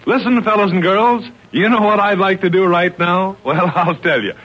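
A man's voice: high-pitched, normal speed, a bit bright. A man announcing and narrating in 1940s radio style, with chummy, emphatic scripted patter. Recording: dull old radio recording.

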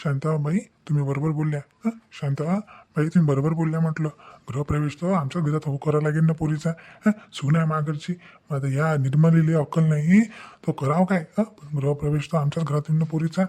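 A man acts out a plain-spoken family elder in a village cartoon drama. His voice is mellow and somewhat high for a man, with a rural Vidarbha Marathi accent and halting, repeated questions. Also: fairly even intonation, lightly acted. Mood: earnest, reassuring. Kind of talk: animated family story dialogue.

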